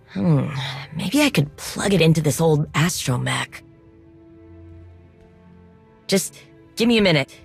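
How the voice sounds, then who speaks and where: A resourceful tech ally in a sci-fi game. Her voice is low, and she talks in a practical, casual, determined way over background music. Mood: excited, hopeful. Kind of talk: video game voice acting.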